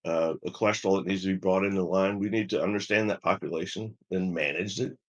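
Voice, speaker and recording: A mature man, a medical executive, answering an interview in a measured, explanatory way, halting and repeating words as he builds his points. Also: deep voice, steady tone. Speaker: health system chief medical officer, interview guest.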